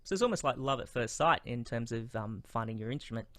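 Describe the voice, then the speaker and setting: A man's voice: medium pitch, lively intonation, quick pace, bright, forceful tone. A man hosting an interview over a video call, upbeat and friendly, with many fillers. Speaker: host.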